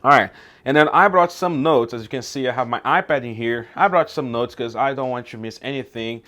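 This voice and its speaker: A man's voice: mid-range voice, brisk, sharp tone. Brazilian man hosting a casual vlog. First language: Portuguese. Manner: informal, often asks "okay?" and "right?". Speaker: YouTube channel host. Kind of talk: casual outdoor vlog talk. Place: outdoors.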